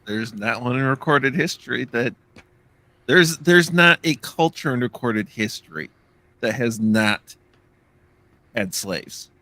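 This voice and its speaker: A man in a casual podcast chat who states things flatly and matter-of-factly. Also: mid-range voice, slow pace, long pauses, dry recording.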